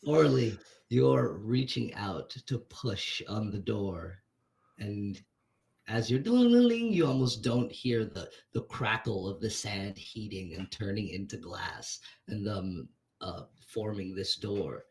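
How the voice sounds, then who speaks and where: A man's voice: lowish voice, animated, slow, gravelly, darkish tone. A man narrating a tabletop game as game master, describing scenes hesitantly while he searches for words.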